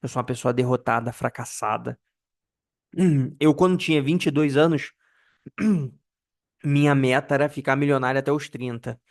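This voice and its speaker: A man's voice: normal speed, long pauses. A man in his early thirties from Brazil talking straight to camera about personal finance, candid and self-deprecating, often stopping to search for words. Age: 30s. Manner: pitch rises and falls widely for emphasis. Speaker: personal finance video host. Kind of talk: casual monologue to camera.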